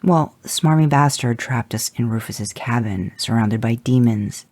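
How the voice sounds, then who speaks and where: A man reading fan fiction, voicing a brash, impatient male monster hunter in a gruff, hurried manner. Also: medium pitch, a little mellow, no room sound, lightly acted.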